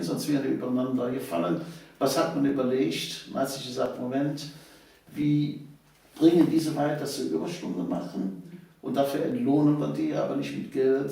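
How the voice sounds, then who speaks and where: A man in his seventies speaks with a creaky, gravelly edge. He tells his memories conversationally and emphatically, often repeating phrases. The recording is very echoey, with him well away from the microphone. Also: medium pitch, dark tone. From Cologne, Germany. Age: 70s. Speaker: interview guest, storyteller of local history. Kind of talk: conversational eyewitness storytelling. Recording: echoey room, distant microphone.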